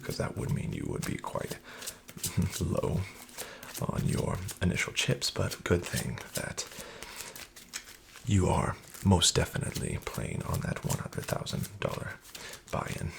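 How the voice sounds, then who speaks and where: Soft-spoken low gravelly man, whispering. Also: noisy background. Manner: smooth, flattering, slyly manipulative dealer patter; whispery, with much unvoiced soft speech. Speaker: ASMR roleplay creator playing a casino dealer. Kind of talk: soft-spoken ASMR roleplay.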